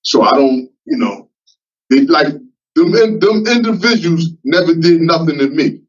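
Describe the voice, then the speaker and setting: A man in his fifties at a parole hearing, speaking haltingly with many fillers and repeats. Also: on the high side, husky. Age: 50s. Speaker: parole applicant. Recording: muffled distant remote-call audio.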